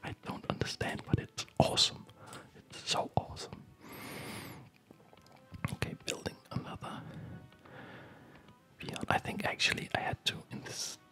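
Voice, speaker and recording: A man whispering breathily in a gentle, unhurried, rambling way, as host of an ASMR gaming video, close to the microphone. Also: faint music behind. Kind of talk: whispered ASMR chat over gameplay.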